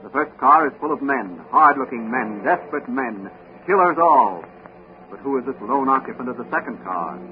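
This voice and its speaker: A man's voice: medium pitch, expressive, moderate pace, slight background noise, lightly acted. A man playing a dramatic serial announcer, narrating suspensefully in declamatory 1940s radio style on a tinny old recording.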